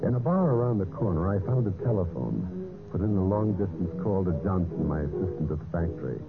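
An actor playing a cold, scheming husband in a 1950s radio mystery, with a smooth, calculating manner. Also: male voice, deep voice, big pitch swings, fairly quick. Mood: coolly scheming. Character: scheming, cold husband narrating his own murderous plot. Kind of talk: 1950s radio mystery acting and first-person narration. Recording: muffled old radio broadcast with music.

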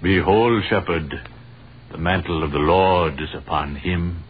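Tinny old-radio devout magus. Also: male voice, low-pitched, unhurried, husky. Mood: reverent. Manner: declamatory, archaic biblical speech. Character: devout wise man travelling after a holy star. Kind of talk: 1930s radio drama acting. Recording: tinny, hissy 1930s radio recording.

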